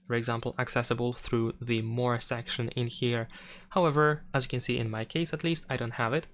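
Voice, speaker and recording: A man's voice: mid-range voice, fairly bright tone, a little hiss or hum. A man presenting a step-by-step phone tutorial in an instructional, explanatory way.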